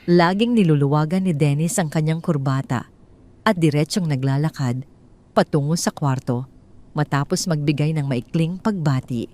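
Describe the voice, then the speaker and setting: Low-pitched Filipino woman narrating a story. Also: normal speed, dark tone. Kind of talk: scripted audio story narration.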